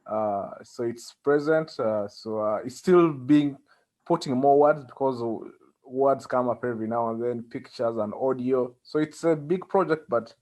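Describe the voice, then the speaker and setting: A man with a Ugandan accent giving conversational interview answers as a guest, with halting phrasing and frequent pauses. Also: medium pitch, darkish tone. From central Uganda. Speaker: interview guest, community organizer.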